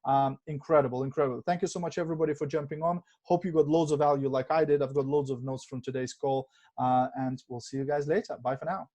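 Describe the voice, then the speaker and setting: A man from Lithuania hosting a video call training, talking in a relaxed, conversational way with many fillers. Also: a little high, fast talker. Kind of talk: casual coaching on a video call.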